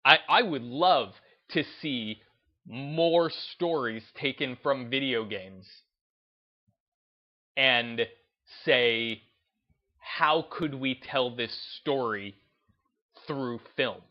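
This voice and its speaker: A man's voice: mid-range voice, very slow, pauses often, highs cut off. A man giving casual opinion commentary as a video host, emphatic and repeating phrases for stress.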